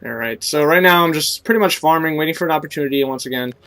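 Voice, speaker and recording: A young man narrates his game in a relaxed, teaching way, stopping to explain his choices. His voice sits slightly high for a man and sounds thick and stuffy, with a scratchy throat from a cold. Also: fast. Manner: explanatory, coaching tone with many fillers. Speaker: gameplay commentator. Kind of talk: casual game commentary.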